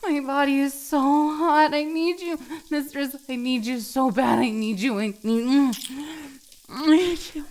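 A woman playing a submissive, needy cat girl in an intimate adult audio roleplay, halting and breathy as she pleads. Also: high-pitched, a little mellow. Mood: needy, breathless.

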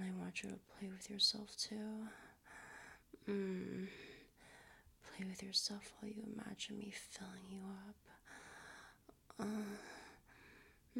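Hushed raspy young man, close whisper. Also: high-pitched. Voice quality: raspy, strained. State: slightly hoarse from a cold.

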